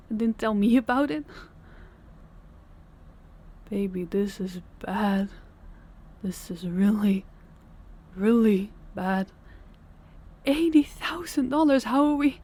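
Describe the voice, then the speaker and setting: A woman playing a weary, soft-spoken girlfriend. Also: on the high side, takes it slowly, pauses often. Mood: shocked, anxious. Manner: soft-spoken, intimate, often near a whisper. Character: weary, tender young working girlfriend. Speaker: voice actor. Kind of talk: audio roleplay.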